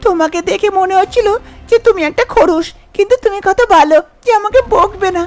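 Woman voicing a very high-pitched timid young cartoon bride. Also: a little fast, faint music behind. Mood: timid, relieved. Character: young timid bride, eager and tearfully grateful girl. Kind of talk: cartoon story character acting.

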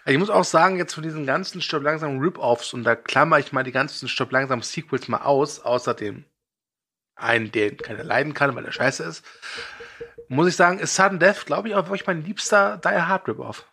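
A man co-hosting a casual film podcast and running its quiz, teasing his co-hosts with blunt opinions and a husky voice. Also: fairly high pitch, a little fast, bright, forceful tone.

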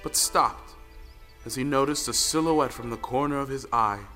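A man narrates a folktale audio drama in a mid-pitched voice. Long reverb surrounds him, with music behind. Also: moderate pace, bright, forceful tone. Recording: long reverberant hall sound.

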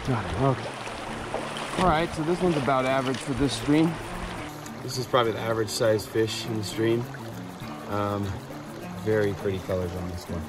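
A young man hosting a fishing vlog, chatting excitedly as he fishes a snowy mountain creek on an action camera. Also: mid-range voice, over loud music. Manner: chatty, excitable running commentary. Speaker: fishing channel host. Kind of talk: casual vlog narration while fishing. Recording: clear outdoor stream noise behind. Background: rushing stream water.

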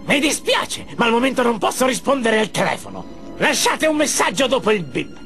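Shrill, whiny little villain minion. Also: male voice, big pitch swings, moderate pace, creaky, a bit bright, soft background music, heavily acted. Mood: panicky, whiny. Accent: Italian. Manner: theatrical, frantic, self-important. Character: boastful, childish half-robot villain minion, petty and whiny. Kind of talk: animated game cutscene acting.

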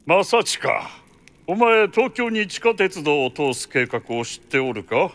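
An actor playing an imperious count in a radio drama, commanding and lordly in an old-fashioned way. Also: male voice, high voice, sing-song, unhurried, fairly bright tone, exaggerated voice. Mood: grave, troubled. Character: imperious male count, senior government overseer. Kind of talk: Japanese radio drama acting.

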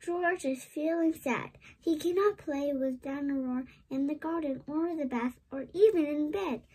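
A woman reading a children's story aloud in an animated, expressive way for young listeners. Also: high voice, measured pace, low-quality recording. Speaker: storybook read-aloud host.

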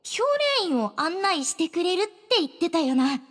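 A woman's voice: extremely high pitch, brisk, fairly bright tone. A woman playing a shy, earnest teenage girl who speaks hesitantly in an anime game. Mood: nervous, placating. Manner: hesitant, slightly flustered.

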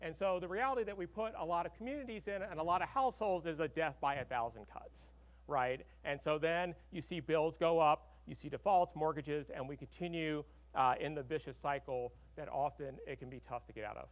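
A man's voice: a little high, brisk. A middle-aged man from Arkansas giving a conversational conference keynote, full of fillers and "right?" tags, speaking at a podium in a large hall.

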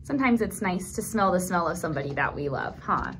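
A woman in her thirties leading a toddler storytime, speaking gently in simple phrases for small children as she reads aloud and comments. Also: fairly low pitch, animated, quick pace, a little hiss or hum. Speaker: children's librarian, storytime host. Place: small indoor room at a library.

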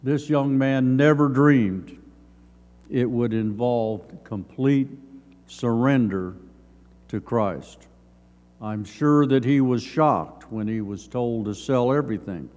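An older man in his seventies giving a Sunday school Bible lesson, reading from notes in measured, halting short phrases. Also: mid-range voice, darkish tone. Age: older, around 70s. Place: church sanctuary, at a lectern. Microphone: gooseneck lectern microphone. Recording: long hall reverb.

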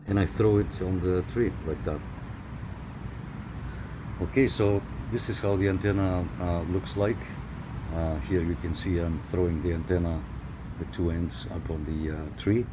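A man hosting his own channel, casually explaining a homemade radio antenna in an easygoing, unhurried way with many fillers. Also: low-pitched, noisy background. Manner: relaxed, explanatory, frequent "uh" fillers. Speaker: CB radio hobbyist host. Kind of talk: casual how-to narration.

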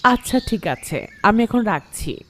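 A woman's voice: medium pitch, sing-song, a little slow, fairly bright tone, slight background noise. A woman voicing a devoted, emotional young newlywed wife in a cartoon. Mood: affectionate. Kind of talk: cartoon voice acting.

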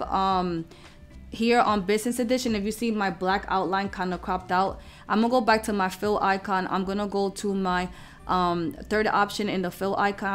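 A woman's voice: medium pitch, a bit bright. Woman giving a casual step-by-step tutorial, music behind. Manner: informal, step-by-step explaining. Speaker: craft tutorial host. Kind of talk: casual screen-recorded software tutorial.

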